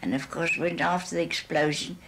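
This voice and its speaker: Low, creaky woman on crackly archive tape. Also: fairly even intonation, brisk, fairly bright tone. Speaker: shipwreck survivor. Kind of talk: spoken eyewitness recollection. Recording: noisy, clicking archive recording.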